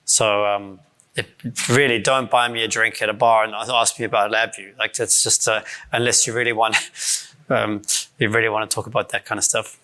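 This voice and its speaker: A man in his forties from South Africa, with a South African accent softened by years abroad, giving a casual conference talk with many fillers and restarts. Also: on the low side, level tone, moderate pace. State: voice strained from shouting the night before. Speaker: conference speaker. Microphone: handheld or stand mic.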